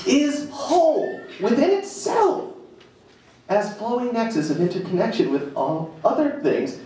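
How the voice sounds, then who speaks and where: A man performs a spoken-word monologue in a very reverberant live venue, picked up from a distance with some noise. His delivery is slow and theatrical, with emphatic pauses and a playful edge. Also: high-pitched, a little mellow. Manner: emphatic dramatic pauses, playful asides to audience. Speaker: reader performing a written monologue. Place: indoor jazz club stage, live event. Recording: very echoey live venue, distant mic, noisy.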